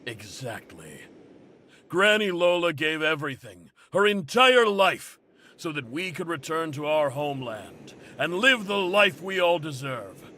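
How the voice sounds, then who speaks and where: Theatrical, manipulative rabble-rousing leader. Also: male voice, fairly high pitch, big pitch swings, measured pace, sharp tone, a little hiss or hum, full character voice. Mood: rousing, bitter. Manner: theatrical, rhetorical, pointed questioning. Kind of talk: anime game voice acting.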